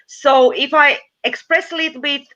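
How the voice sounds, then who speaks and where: A woman from Latvia speaks English as a second language, with a clear Latvian accent and frequent pauses and fillers. Her voice is bright and forceful. The sound is dull and lo-fi, like a video call. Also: high-pitched, steady tone. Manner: halting non-native English with pauses and fillers. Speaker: guest. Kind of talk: online talk show interview.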